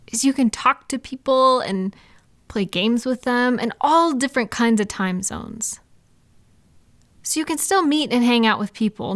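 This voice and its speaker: A woman playing a supportive, gentle female friend in an intimate, casual comfort roleplay, speaking in a reassuring, validating way. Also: fairly high pitch, no room sound. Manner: reassuring, validating, softly indignant on listener's behalf. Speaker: audio roleplay performer.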